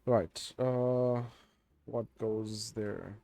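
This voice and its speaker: Raspy guy rambling on his game stream, slow and mellow, dry close mic, lots of ums. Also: lowish voice, fairly even intonation, no room sound. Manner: laid-back, rambling, many fillers. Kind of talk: casual game stream chat.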